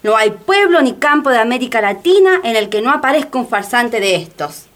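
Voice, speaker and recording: A woman's voice: a little high, lively intonation, brisk, natural voice. A woman playing an impassioned, well-informed denouncer in radio drama dialogue, emphatic and rhetorical. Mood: scornful.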